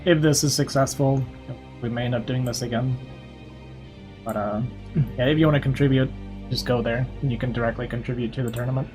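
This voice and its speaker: A man's voice: medium pitch, a little mellow. A young man streaming from his computer, chatting casually and rambling with lots of fillers, his voice worn out from talking. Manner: rambling, filler-heavy, upbeat. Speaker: streamer and caster. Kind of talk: casual livestream chat.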